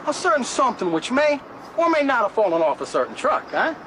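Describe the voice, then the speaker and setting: Comedy bar regular male actor, tipsy and baffled, high-pitched, noisy urban recording. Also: sing-song, a little fast.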